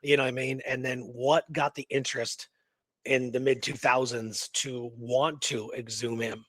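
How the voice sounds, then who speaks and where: Casual animated man telling history stories. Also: medium pitch, normal speed, dry recording. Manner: animated, informal, peppers talk with "you know what I mean". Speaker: show host. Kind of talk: casual history discussion and storytelling.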